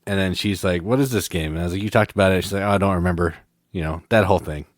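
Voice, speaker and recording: A man's voice: deep voice, racing, dry recording, clean studio sound. A man co-hosting a podcast, explaining game rules in casual chat, rambling and correcting himself. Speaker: podcast co-host.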